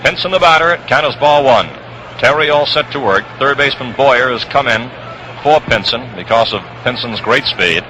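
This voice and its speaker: A man calling baseball play-by-play for 1960s radio in measured, descriptive phrases. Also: mid-range voice, sharp tone. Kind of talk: 1960s radio sports commentary. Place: outdoor ballpark broadcast position. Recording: noisy vintage radio broadcast, ballpark outdoors.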